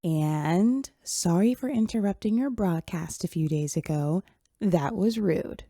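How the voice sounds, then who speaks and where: A blunt, awkward computerized girl from a sci-fi radio play. She speaks in a low, mellow voice that still moves around a lot in pitch. Her lines come out slow and plain. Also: expressive, no room sound, clean studio sound. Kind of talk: audio drama acting.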